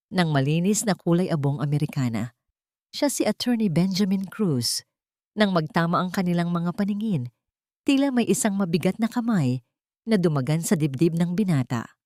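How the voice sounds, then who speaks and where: A woman narrates a dramatic audio story. Her voice sits a little low, and the sound is dry, with very little room. She reads in a measured, literary storytelling manner. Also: mellow, dry recording.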